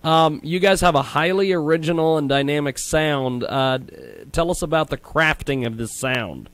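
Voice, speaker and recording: A man hosting a live radio show, introducing guests and segments with frequent pauses. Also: a little high, fairly bright tone, no echo.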